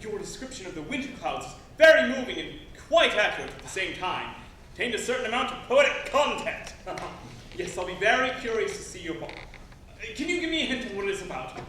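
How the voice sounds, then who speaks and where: An actor playing a proud, fussy schoolteacher in a stage play, wordy and rambling. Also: male voice, high voice, very animated, a little fast, sharp tone, exaggerated voice. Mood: curious, encouraging. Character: proud, fussy former schoolteacher, wistful would-be writer. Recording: echoey, noisy stage recording from far off.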